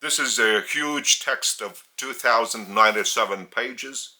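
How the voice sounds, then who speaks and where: A man with a Lithuanian accent, a native Lithuanian speaker, giving a spiritual teaching in a deliberate, halting way with emphasis on key words. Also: mid-range voice, sharp tone. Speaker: spiritual teacher and religious leader. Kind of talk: spiritual sermon addressed to camera. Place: indoors.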